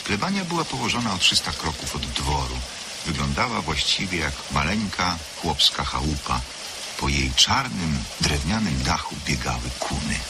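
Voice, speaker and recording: A man's voice: a bass voice, sing-song, normal speed, noisy background, heavily acted. A man narrating a literary radio drama of suspense as a solemn storyteller. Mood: grave, measured. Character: solemn male storyteller of a gothic tale.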